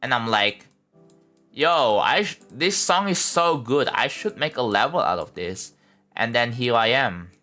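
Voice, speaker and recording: A man's voice: medium pitch, lively intonation, measured pace, pushed and bright, soft background music. A young man chatting casually on a live stream at home, rambling and laid-back, saying like and bro a lot. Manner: laid-back, rambling, many likes and bros. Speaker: livestreamer building a game level.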